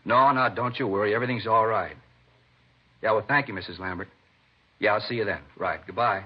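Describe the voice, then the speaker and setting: A defensive American civilian in an old radio crime drama. His husky voice runs fast and conversational, ready to bristle when questioned. The vintage recording sounds dull and limited. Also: fairly low pitch. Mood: eager, explaining. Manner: conversational, indignant when pressed. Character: defensive, talkative male civilian under police questioning. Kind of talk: 1950s radio drama acting.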